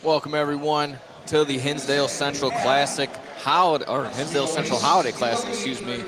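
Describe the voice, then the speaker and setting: A man's voice: a little high, steady tone, a little fast, crowd noise. A man calling live basketball play-by-play as an announcer, often stumbling and correcting himself. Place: indoor basketball game, courtside broadcast.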